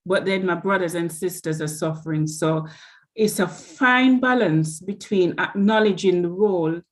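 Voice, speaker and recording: A woman's voice: on the low side, moderate pace. A woman guest speaker on a video call, talking earnestly and often restarting her words. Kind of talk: motivational talk and panel answers.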